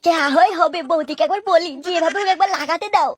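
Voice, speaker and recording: A man's voice: very high-pitched, fast talker. A man voicing a lecherous, sly young construction worker with a crude, wheedling manner in a comic cartoon dub. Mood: lustful, wheedling.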